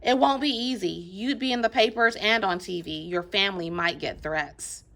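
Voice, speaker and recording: A woman playing an earnest, courteous male lawyer in a readers theater play, formal and measured. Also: mid-range voice, fairly bright tone, natural voice. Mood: persuasive.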